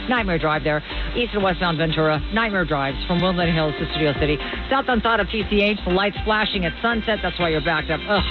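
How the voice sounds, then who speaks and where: A woman ad-libbing a live radio traffic report, chatty and thinking aloud as she goes. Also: medium pitch. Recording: muffled radio relay, music underneath.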